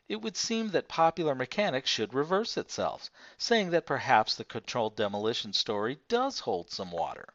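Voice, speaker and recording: A man with a fairly low voice narrates a nonfiction book. His delivery is formal and measured, clearly scripted. The recording is very dry and somewhat dull, with a lo-fi edge. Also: a bit bright, no echo. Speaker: audiobook reader.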